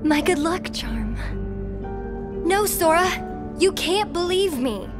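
An actress playing a gentle, sorrowful young girl in a video game, soft-spoken and pleading. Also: very high-pitched, lively intonation, slow pace, over music, full character voice. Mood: desperate. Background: game score.

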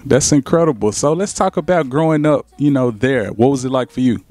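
A young man hosts a talk show with hype and casual slang, voice at an everyday middle pitch. He speaks into a handheld mic on a small studio set, the sound close and dry with faint music behind. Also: fairly quick, a little mellow, no room sound. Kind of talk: upbeat talk show hosting.

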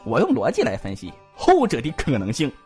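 A man's voice: medium pitch, huge pitch swings, fairly quick, darkish tone, breathy edge, soft background music. A man voicing a talkative, joking young male physician who gossips and pleads comically in a multi-cast audio drama. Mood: gossipy. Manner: bantering, giggly, exaggerated pleading.

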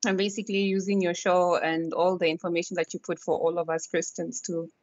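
Woman calling in, agrees a lot and laughs, middle pitch, muffled dry line. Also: little pitch movement, brisk, darkish tone, dry recording. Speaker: caller. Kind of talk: phone-in chat.

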